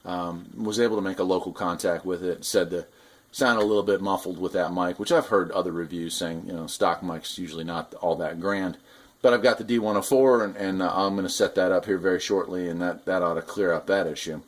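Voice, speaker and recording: A man's voice: low voice, fairly even intonation, a little fast. A man giving a casual hands-on product demonstration, explaining things in an informal, conversational way as a hobbyist host. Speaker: radio hobbyist host reviewing gear.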